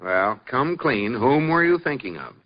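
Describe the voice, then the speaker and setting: A stubborn sputtering husband. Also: male voice, mid-range voice, sing-song, unhurried. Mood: wry. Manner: bluffing, sputtering, dry comic delivery. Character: stubborn good-natured husband, grown man. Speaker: husband in a radio sitcom. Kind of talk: 1940s radio comedy acting. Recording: dull hissy old radio broadcast.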